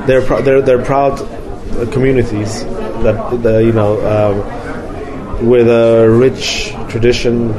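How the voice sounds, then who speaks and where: A man who grew up in London, a film director answering interview questions in a halting way, with many fillers and restarts. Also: medium pitch, low-quality recording. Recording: crowd noise behind.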